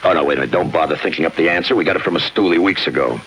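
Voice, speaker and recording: A low-voiced, forceful American police lieutenant, cool and relentless. He needles in clipped, deadpan wisecracks, in 1950s radio crime style over old broadcast noise. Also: very fast. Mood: sardonic.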